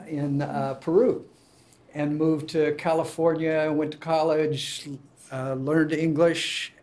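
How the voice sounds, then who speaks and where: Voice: mid-range voice, a little mellow. Host at a club meeting, friendly, introducing folks and asking questions. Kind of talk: introducing members. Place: meeting room.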